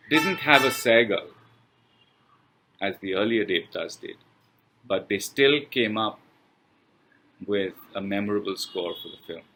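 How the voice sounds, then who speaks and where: Bright, forceful low-voiced Indian man. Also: slight background noise, poor audio. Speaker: film review reader. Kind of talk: reading a scripted film review aloud.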